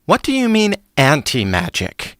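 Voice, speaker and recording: A man's voice: a little high, wildly swooping pitch, a little slow, a bit bright, no echo, clean studio sound, exaggerated voice. A man playing a long-lost, self-absorbed prince who is indignant and pleading, in a fantasy audio drama. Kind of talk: stage-style fantasy audio drama acting.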